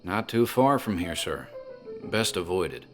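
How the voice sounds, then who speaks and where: A man playing a talkative country tavern landlord in a horror audio drama, deliberate, teasing and deferential in his storytelling. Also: deep voice, sing-song. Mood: ominously teasing. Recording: music under the voice.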